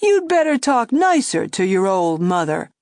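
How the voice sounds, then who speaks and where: A woman's voice: mid-range voice, big pitch swings, a little slow, darkish tone, dry recording, clean studio sound. A woman voicing a stubborn man who insists on having one more drink.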